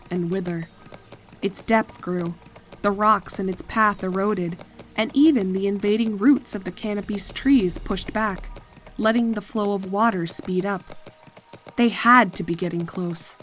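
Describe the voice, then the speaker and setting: Slow, measured woman narrating horror fiction. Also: mid-range voice, faint music behind, rough recording. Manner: measured, deliberate. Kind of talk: scripted dramatic horror story narration.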